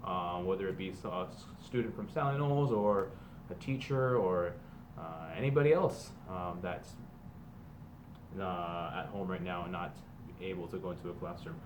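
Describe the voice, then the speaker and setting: A man's voice: deep voice, darkish tone. A man reading a children's book aloud like a teacher, mixing emphatic, sing-song lines with casual explanations for students. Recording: clear background noise.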